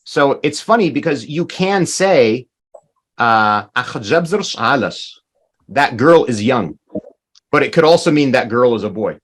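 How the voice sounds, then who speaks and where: A man's voice: medium pitch, expressive, a little slow, fairly bright tone. A man teaching a language class over a video call, patiently explaining words and repeating them.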